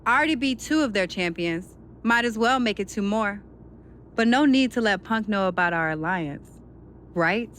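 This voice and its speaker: A mid-pitched, assertive wrestler played by a woman speaks with self-assurance in a game cutscene. There is faint noise behind the voice. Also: animated, moderate pace, a bit bright, natural voice. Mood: self-assured. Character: confident, assertive woman wrestler, champion-beater. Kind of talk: scripted game cutscene dialogue.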